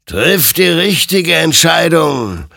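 Man voicing a slow, commanding spectral rider. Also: a little high, sing-song, vocal fry, sharp tone, dry recording, studio quality, voice pushed up, exaggerated voice. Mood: solemn, commanding. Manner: slow, solemn, menacing pronouncements. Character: spectral rider of burning souls, ancient, commanding, accusing Death-like being.

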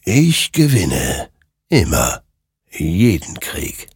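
A man voicing an all-knowing spectral rider in a dark story, solemn, menacing and accusing, with drawn-out delivery. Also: low voice, huge pitch swings, creaky, husky, no room sound, studio quality, exaggerated voice. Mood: grim triumph.

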